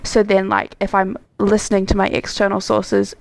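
A woman's voice: mid-range voice, monotone, normal speed, vocal fry. A young woman co-hosting a podcast, talking in a chatty, reflective way with plenty of "like" fillers. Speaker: podcast co-host. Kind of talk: casual chat.

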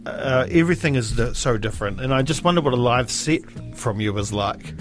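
A man's voice: medium pitch, expressive, fairly quick, soft background music. A man hosting a casual radio interview, asking questions in a friendly, affirming way.

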